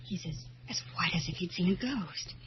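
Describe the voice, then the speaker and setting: A woman's voice: fairly low pitch, normal speed, slight background noise, natural voice. An actress playing a kindly, solicitous, easily frightened young woman in an old-time radio drama. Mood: concerned, welcoming. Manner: solicitous, expressive. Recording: muffled vintage radio recording.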